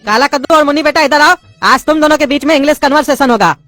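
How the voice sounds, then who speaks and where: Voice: high voice, quick pace, a bit bright, no room sound, faint music behind. A voice actor playing an irritable male English teacher, scolding his class in an exasperated tone.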